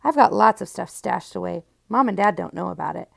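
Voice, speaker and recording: A woman's voice: on the low side, lively intonation, brisk, creaky, heavily acted. A woman voicing a breezy, confident, friendly twelve-year-old girl in a children's storybook. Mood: breezy, generous. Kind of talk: children's storybook character reading.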